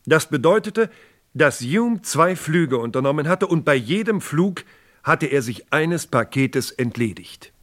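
A man narrating a scripted German radio crime drama in a measured, factual manner. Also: fairly high pitch, animated, a bit bright.

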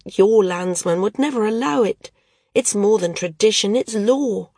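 A woman's voice: mid-range voice, a little mellow, no room sound, studio quality, voice pushed up. A woman reading an audiobook, voicing a proud young female flyer who pleads and breaks off mid-sentence.

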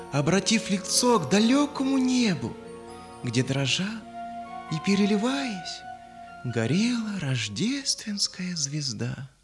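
A man narrating a children's audio fairy tale in a solemn, expressive, literary manner. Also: sits high. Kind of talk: dramatic scripted storytelling, audio fairy tale. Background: music.

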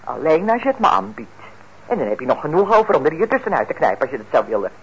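A woman's voice: deep voice, big pitch swings, fast. An actress playing a bitter, manipulative mother nearing forty in a radio play, nagging and wheedling. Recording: dull, noisy old radio recording.

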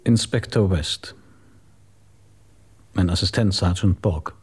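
An actor playing a businesslike police inspector in a German radio crime drama, calm, formal and questioning. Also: male voice, sits low, slow pace, heavy background noise. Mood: calm, probing.

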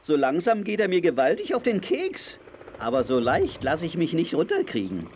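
An actor playing a tough, good-hearted sailor hero in a children's audio drama, boastful, muttering and full of exclamations. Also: male voice, fairly high pitch, wildly swooping pitch, normal speed, a little mellow, a little hiss or hum. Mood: feisty.

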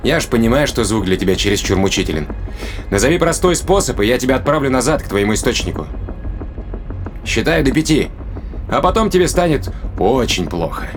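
A man's voice: medium pitch, sing-song, a little fast, faint music behind, close to an everyday voice. A man playing a tough, sardonic spaceship crewman in an audio drama, questioning a captive in pointed, colloquial speech. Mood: cold, threatening.

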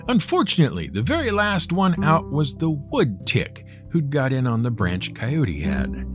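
Male storyteller, wry and theatrical, hugely varied intonation, slightly high pitch, light music. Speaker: storyteller and show host. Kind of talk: scripted storytelling narration.

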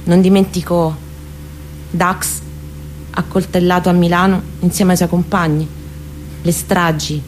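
A woman with a lowish voice and a Roman accent delivers an autobiographical monologue. Her phrasing is deliberate, expressive and intimate, with faint background noise around her. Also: rough recording. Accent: central Italian, Roman. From near Rome, Italy. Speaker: monologue performer. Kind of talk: dramatic autobiographical storytelling monologue.

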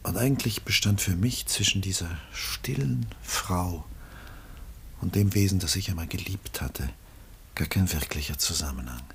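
An actor playing an embittered, lonely middle-aged artist in a mid-century German radio play, melancholy and brooding, with a husky voice and hushed drops. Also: male voice, sits low, moderate pace, slight background noise. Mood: subdued, reflective. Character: Julian, embittered aging artist, lonely, self-pitying father.

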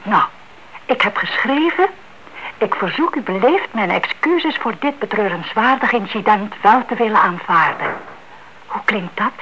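An actress playing a fretful, suspicious older aunt in a Dutch radio play, speaking politely and anxiously. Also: female voice, mid-range voice, expressive, measured pace, sharp tone. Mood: earnest. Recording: dull, hissy old radio recording.

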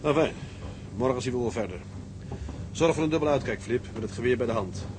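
An actor playing a sober, level-headed tugboat skipper in a Dutch radio drama, measured and understated. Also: male voice, medium pitch, close to an everyday voice. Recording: clear background noise, ship ambience.

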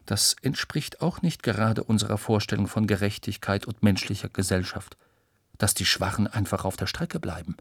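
A man's voice: fairly low pitch, moderate pace, creaky, a bit bright, slightly rough, no room sound, studio quality. A man reading scripted educational narration for children, explaining in a storytelling way with rhetorical questions.

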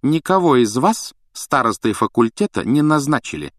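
A bustling, strict mother from a storybook, acted out by a male reader, pitched up from a low voice. She is brisk, proud and ready to scold, in a dry sound. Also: big pitch swings, no echo, close to an everyday voice. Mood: indignant.

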